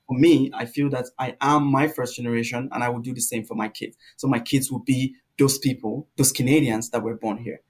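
A young man from Nigeria, a guest in a casual interview, telling stories at length with many ums and an easy laugh. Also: medium pitch. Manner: warm storyteller, laughs easily, many fillers.

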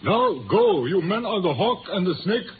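An actor playing a grave older Chinese tong leader in a 1950s radio drama, speaking in formal, measured phrases. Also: male voice, high voice, sing-song. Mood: solemn, commanding. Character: solemn Chinese elder, restaurateur and tong leader. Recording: muffled, noisy 1950s radio recording.

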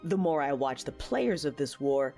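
A woman's voice: sits low, sing-song, moderate pace, mellow, soft background music. A woman playing a reflective, war-weary young female knight, narrating her memories earnestly in an audio drama. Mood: disillusioned, somber. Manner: earnest, reminiscing.

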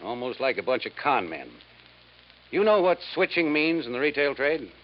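An actor playing a brisk, matter-of-fact insurance man briefing an investigator in a 1950s radio drama. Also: medium pitch, very animated, close to an everyday voice. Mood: wry, explanatory. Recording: dull 1950s radio recording.

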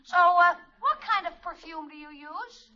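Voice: very high-pitched, a little slow, sharp tone, full character voice. An actor playing an eager, cheeky schoolboy in 1950s radio comedy, earnest, brash and slangy. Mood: eager, scheming. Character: eager, cheeky schoolboy with a part-time sales job. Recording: dull old 1950s radio recording.

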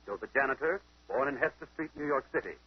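A confident amateur detective, lays out deductions step by step with theatrical questions. His very husky voice rises and falls freely through a muffled old-time radio mystery broadcast. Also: mid-range voice, animated, fast. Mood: deductive, assured. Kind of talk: 1940s radio mystery acting.

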